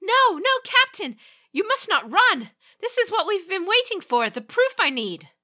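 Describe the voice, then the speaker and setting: A woman's voice: extremely high pitch, sing-song, measured pace, sharp tone, voice pushed up, exaggerated voice. A woman playing a stubborn young priestess, urgent and raised. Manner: earnest, pleading, intense.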